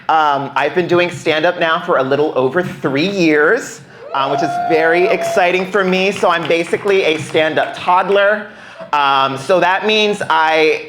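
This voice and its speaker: A man's voice: on the high side, a bit bright. A young American man performing a playful, self-deprecating stand-up routine in a conversational way on a club stage. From United States. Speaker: stand-up comedian. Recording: roomy live club sound.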